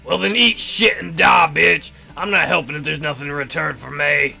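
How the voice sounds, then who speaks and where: A surly young wolf-boy guard from a visual novel, put on by a woman reader, pitched down and pushed out forcefully. He is gruff and rude, sounding fed up and irritable, with faint music underneath. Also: fairly even intonation, moderate pace, heavily acted. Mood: defiant, rude. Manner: gruff, irritable, foul-mouthed.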